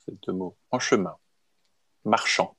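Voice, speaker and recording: Very deep, slow-speaking man. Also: fairly bright tone, slightly rough, no echo, clean studio sound. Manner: slow, hesitant, wry humor. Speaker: guest. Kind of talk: reflective interview answers.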